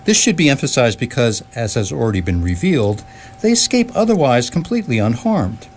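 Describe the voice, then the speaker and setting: A man playing a dry, wry narrator in radio theatre, with formal, deadpan wording. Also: medium pitch, a little fast, slight background noise. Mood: wry reassurance.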